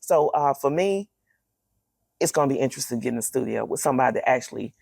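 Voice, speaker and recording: A woman's deep, raspy voice with a gravelly crackle, recorded close and dry. She is middle-aged and speaks candidly and expressively, like a guest sharing her own story in a relaxed interview. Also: fast talker, no echo.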